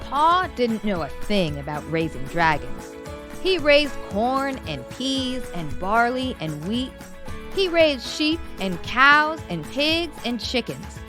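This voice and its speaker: A woman narrating a children's picture book in an expressive read-aloud storytelling style. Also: a little high, takes it slowly, over music.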